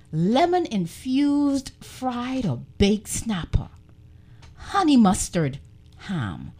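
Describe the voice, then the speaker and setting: A woman hosting a radio show, lingering over her words as she savors long lists of things. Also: mid-range voice. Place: radio studio.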